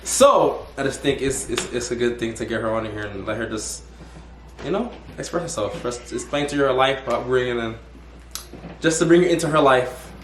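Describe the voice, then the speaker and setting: A man's voice: medium pitch, lively intonation, moderate pace, a bit bright, slight background noise. A young man hosting a casual interview, rambling and restarting his questions. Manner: rambling, restarts and repeats words. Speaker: host. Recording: echoey room.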